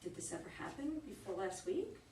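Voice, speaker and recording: A woman reading the role of a self-assured, nonchalant upper-class daughter in her forties in a play, brisk and questioning. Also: medium pitch, expressive, warm tone, lightly acted. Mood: skeptical, probing. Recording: echoey noisy room, distant mic.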